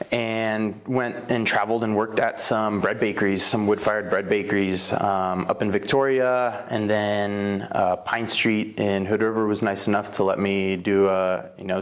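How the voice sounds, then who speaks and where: Low-voiced relaxed man, rambling. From Slovenia. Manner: relaxed, rambling with fillers and self-corrections. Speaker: bakery owner, interviewee. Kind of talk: casual oral history interview.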